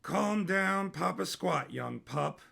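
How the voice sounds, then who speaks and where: Man putting on a brash, bright-voiced robber prince. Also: high-pitched, expressive, slow, creaky, pitched above the performer's own voice, heavily acted. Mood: cocky, taunting. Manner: boisterous, cocky, theatrical. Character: brash, manic royal bastard robber, gleefully theatrical.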